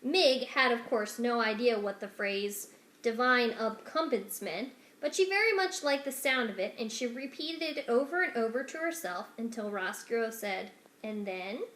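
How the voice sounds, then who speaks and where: A woman reading a children's novel aloud as expressive storytelling narration. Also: fairly high pitch, moderate pace. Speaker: story reader. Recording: roomy indoor echo.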